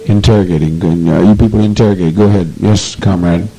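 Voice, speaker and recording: A man's voice: low voice, fairly even intonation, fast, dark tone, husky, rough recording. A man leading a meeting, speaking intensely and repetitively in a preaching manner on an old archival tape. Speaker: group leader addressing a meeting. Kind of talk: commanding speech to an assembly.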